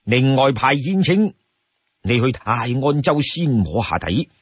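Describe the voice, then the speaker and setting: A man voicing a cautious, deliberate chief of an outlaw band in a storybook tale. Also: medium pitch, slightly rough, no room sound, muffled recording.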